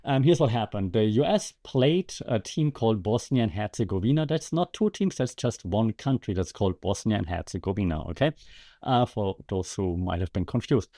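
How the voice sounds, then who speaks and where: A man's voice: on the low side, expressive, normal speed, dark tone. A man hosting a podcast with casual, conversational commentary and wry, sarcastic asides. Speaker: podcast host and media lecturer.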